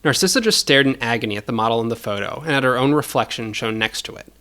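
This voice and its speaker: A man's voice: mid-range voice, quick pace, pushed and bright. A man narrating scripted audio fiction as the storyteller.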